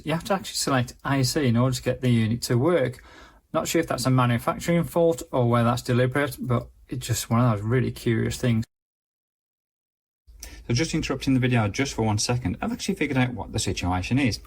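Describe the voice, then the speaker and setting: A man's voice: medium pitch, lively intonation, fast, slightly rough. A man giving a casual, chatty product review, explaining features as he demonstrates them.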